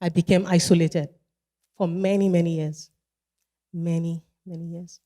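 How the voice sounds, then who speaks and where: A woman with a Nigerian accent delivers a keynote in a large event hall, her warm, mellow voice trailing into a long reverb. She speaks in a measured way and repeats key phrases for emphasis. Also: lowish voice, little pitch movement. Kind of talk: motivational keynote speech.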